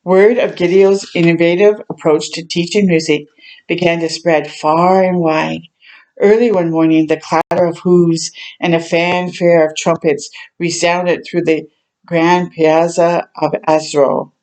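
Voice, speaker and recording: A woman reading a children's story aloud as a storytime host, adding gentle chatty reactions as she goes. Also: on the low side, fairly even intonation, a little slow, rough recording. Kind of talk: read-aloud narration with chatty asides.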